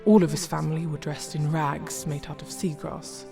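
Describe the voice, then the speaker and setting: Female storybook narrator, low-pitched and measured, over prominent music. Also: little pitch movement, gravelly. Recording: loud music behind.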